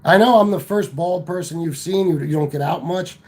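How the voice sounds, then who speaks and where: A man's voice: fairly high pitch, fairly quick, a little mellow. A man hosting a live stream and answering chat comments in a combative, profane, sarcastic way, from home. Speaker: livestream host reading chat.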